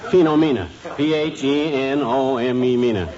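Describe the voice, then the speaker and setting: An actor playing a boastful husband who spins tall tales in a 1950s radio comedy. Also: male voice, medium pitch, normal speed, over a noisy crowd, close to an everyday voice. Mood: bluster. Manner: blustery, tall-tale boasting. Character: boastful blustering husband, tall-tale teller. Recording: dull old radio transcription with hiss.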